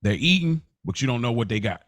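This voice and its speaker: A man co-hosting a podcast, talking in a relaxed, rambling way with many restarts. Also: mid-range voice, quick pace, no echo. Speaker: podcast co-host. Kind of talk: casual chat.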